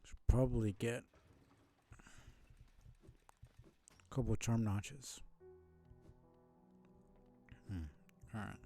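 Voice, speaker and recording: A man gives casual commentary while he plays a video game. His voice is dark and creaky, and he speaks slowly in low-key, muttered fragments. Faint music plays behind him. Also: fairly even intonation. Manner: low-key, thinking aloud in short fragments. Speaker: streamer playing a video game.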